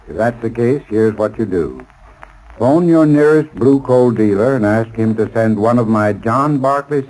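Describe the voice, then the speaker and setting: A man's voice: fairly low pitch, darkish tone, poor audio. An American man giving a scripted 1930s radio advertisement as a sponsor's expert spokesman.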